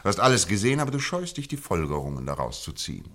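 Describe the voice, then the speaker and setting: Shrewd, lecturing detective in a radio play, very deep voice, teasing. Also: male voice, big pitch swings, racing, fairly bright tone, exaggerated voice. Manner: teasing, lecturing, theatrical emphasis. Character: shrewd lecturing male detective, middle-aged. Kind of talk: 1950s radio drama acting.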